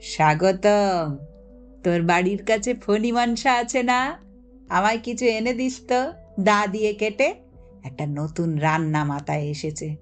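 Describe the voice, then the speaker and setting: A woman's voice: medium pitch, a little slow, lightly acted. A woman voicing a teasing, evasive older village lad in a storybook reading, with an offhand way of contradicting. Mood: breezy. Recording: music under the voice.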